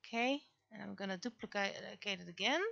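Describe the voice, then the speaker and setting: A woman is livestreaming her digital art, talking to herself in short, casual remarks. Her voice is bright with plenty of vocal fry, at an average pitch. The audio is a little dull and lo-fi. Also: a little fast. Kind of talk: casual livestream commentary, thinking aloud while drawing.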